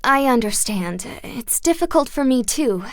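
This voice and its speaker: An actress playing a singer who talks in a soft, placating, pleading way, torn between her husband and an old friend. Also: high-pitched, lively intonation, a little slow, background noise.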